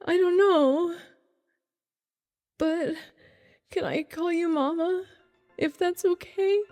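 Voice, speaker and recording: A woman playing a scared, earnest little android girl in a radio drama, with a childlike, pleading, halting way of talking. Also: extremely high pitch, a little mellow, dry recording, faint music behind, exaggerated voice. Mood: timid, hopeful.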